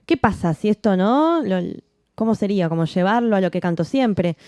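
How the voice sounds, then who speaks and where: A woman, a jazz singer co-hosting a podcast, talking in a relaxed, reflective way with frequent restarts. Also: medium pitch, normal speed, clean tone, no room sound, studio quality. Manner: reflective, many restarts and "¿no?" tags. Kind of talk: casual chat.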